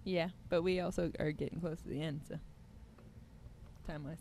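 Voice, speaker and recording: A woman's voice: low voice, steady tone, moderate pace, a little mellow. A woman co-host chatting casually, offering brief interjections and prompts as she listens. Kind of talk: casual chat.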